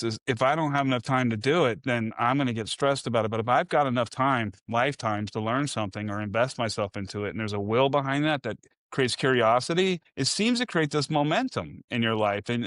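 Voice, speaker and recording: A man hosts a podcast interview, thinking out loud in long, reflective stretches. His voice is smooth and clear, with a medium pitch, and the sound is dry. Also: no echo. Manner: reflective, musing, long rambling questions. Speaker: podcast host. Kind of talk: conversational interview.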